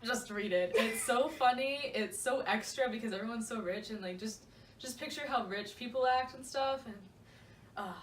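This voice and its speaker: A woman's voice: fairly high pitch, moderate pace. A young woman co-hosting a casual book vlog, talking in a gushing, slangy and emphatic way with playful asides. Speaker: book vlogger, co-host. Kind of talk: casual chatty book discussion. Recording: roomy, distant mic with faint noise.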